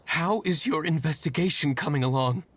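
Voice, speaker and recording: A man's voice: on the high side, animated, normal speed, a bit bright, slight background noise. A man playing a haughty, melodramatic villager in an anime video game, with a pompous delivery.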